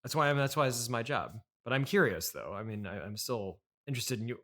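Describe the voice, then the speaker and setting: A man hosting an improvised comedy interview, deadpan, hesitant and politely puzzled. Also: medium pitch, quick pace. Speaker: comedy podcast host and interviewer.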